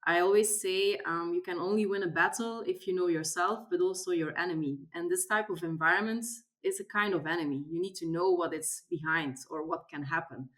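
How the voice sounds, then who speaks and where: Belgian woman, slightly low voice. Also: brisk, few pauses, a bit bright. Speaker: podcast guest, software industry expert. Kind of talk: conversational interview, explanatory.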